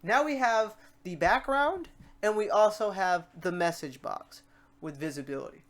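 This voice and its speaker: A tutorial host talking the viewer through software steps in a casual, animated, slangy way, acting out a learner's questions and exclamations. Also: medium pitch, moderate pace.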